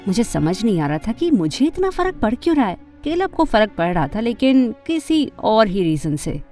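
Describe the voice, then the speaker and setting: A woman voicing an earnest, emotional teenage girl who narrates and acts out her animated story dramatically. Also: on the high side, normal speed, soft background music. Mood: wistful narrating. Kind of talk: first-person animated story narration and dialogue.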